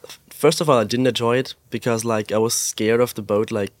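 A young man from Austria chats as a podcast guest, relaxed and loose, peppering his talk with "like" and "um". His pitch sits in the middle range and the sound is close and dry. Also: no echo. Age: young adult. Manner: relaxed, fills pauses with "like" and "um", laughs easily. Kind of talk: casual interview chat. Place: pop-up store.

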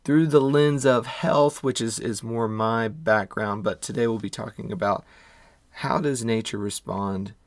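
A man hosting his own wellness podcast, slightly hoarse, upbeat and encouraging, with corny jokes and some thinking aloud. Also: fairly low pitch, steady tone, unhurried, dry recording. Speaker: podcast host. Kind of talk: casual solo podcast talk.

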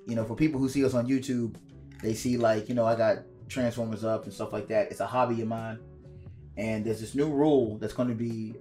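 Hoarse raspy man, podcast co-host, fast profane banter, faint music underneath. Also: medium pitch, a little mellow. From DC area. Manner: quick, laughing rants. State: hoarse from a cough.

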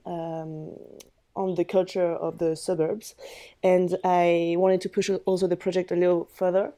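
A woman's voice: mid-range voice, mellow. A woman in her twenties from France, guest editor answering interview questions casually with many hesitations. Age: 20s.

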